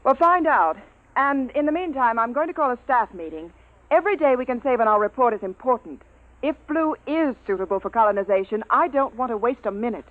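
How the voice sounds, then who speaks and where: A woman playing a stern, dignified expedition commander in a 1950s science fiction radio drama, formal and brisk. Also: a little high, animated, narrow, tinny audio, slight background noise, close to an everyday voice. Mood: brisk, commanding. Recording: thin, band-limited old radio recording.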